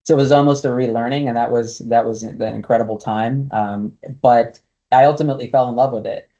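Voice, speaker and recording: A man's voice: medium pitch, fairly even intonation, fairly quick, dark tone, lo-fi sound. A man in his forties, a native Spanish speaker, answering interview questions thoughtfully with many fillers and restarts. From United States (Brooklyn, New York), raised partly in Guatemala. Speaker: casino executive, guest.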